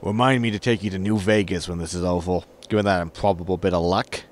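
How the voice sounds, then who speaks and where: A man's voice: low-pitched, steady tone, fast, lightly acted. A man playing an exasperated, sarcastic spaceship captain in a science fiction comedy audio drama. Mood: wry. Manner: dry, sarcastic.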